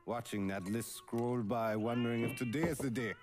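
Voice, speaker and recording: A man's voice: mid-range voice, steady tone, normal speed, music in the background, lightly acted. An actor playing a weary middle-aged neighbourhood boss, fatherly yet commanding, in a dramatic game cutscene. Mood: weary, worried.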